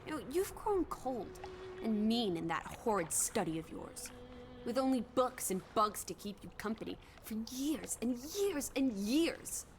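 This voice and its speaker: A woman's voice: on the high side, sing-song, unhurried, a little hiss or hum. An actress playing a bold, inquisitive young woman companion in a video game drama, theatrical and bossy in manner. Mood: scolding. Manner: bossy, theatrical, teasing and scolding.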